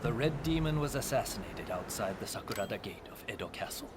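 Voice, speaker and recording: An actor playing a solemn narrator of historical events, reading scripted game narration. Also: male voice, fairly low pitch, expressive, fairly quick, heavy background noise, close to an everyday voice.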